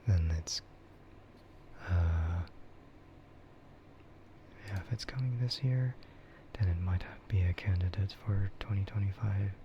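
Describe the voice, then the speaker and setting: A man streaming ASMR in a soft, breathy whisper, chatting casually and unhurriedly in a meandering way that trails off. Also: low voice, steady tone, a little slow, pauses often. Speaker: streamer hosting an ASMR livestream. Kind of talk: ASMR, rambling casual chat about games and anime. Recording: muffled lo-fi sound with clear noise.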